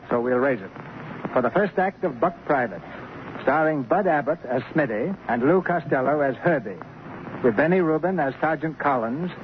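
A man's voice: fairly high pitch, lo-fi sound, a little hiss or hum. A man hosting a radio theater show, reading scripted narration in the style of 1940s broadcasting.

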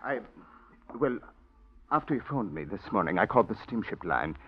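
An actor playing a nervous piano teacher drawn into a murder plot, hesitant and stammering, in 1940s radio drama style. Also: male voice, on the low side, very animated, moderate pace, breathy edge. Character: nervous male piano teacher and lover turned accomplice. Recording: dull old radio recording.

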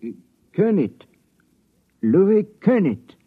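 Husky, halting old man. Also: high-pitched, big pitch swings, pitched above the performer's own voice, full character voice. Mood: meek, hesitant. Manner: halting, meek, muddled, folksy. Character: frail, hesitant, homeless old man seeking work, played by an impostor. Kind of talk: old-time radio drama acting. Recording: muffled, phone-like vintage radio recording.

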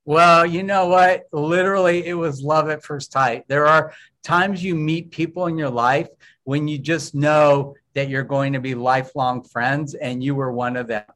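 A man's voice: a little high, steady tone, measured pace, fairly bright tone. Enthusiastic male interview host. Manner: enthusiastic, with fillers and restarts. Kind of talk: warm interview chat.

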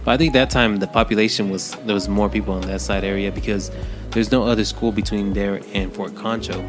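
Brief: lower-voiced Texas man, easygoing co-host who asks questions. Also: steady tone, brisk, music in the background. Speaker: podcast co-host. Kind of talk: casual chat.

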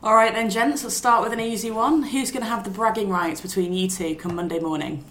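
Quick-talking woman hosting in echoey room. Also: mid-range voice, fairly even intonation, a bit bright. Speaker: podcast host. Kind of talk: casual interview presenting. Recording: echoey room, faint background noise.

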